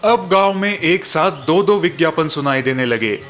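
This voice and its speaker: A man's voice: on the high side, animated, normal speed, fairly bright tone, soft background music. A man narrating scripted moral and horror stories in a dramatic storytelling style.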